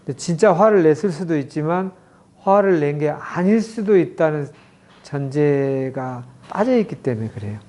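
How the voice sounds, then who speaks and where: A man's voice: a little high, animated, darkish tone. A middle-aged man, a clinic director, lecturing an audience on communication and acting out example quotes as he explains. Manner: explains slowly with many acted example quotes.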